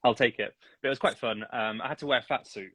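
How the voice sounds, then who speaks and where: A man's voice: medium pitch, fast, sharp tone, no echo. A man, a singing teacher, chatting casually as a podcast guest, laughing often and poking fun at himself. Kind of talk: casual interview chat.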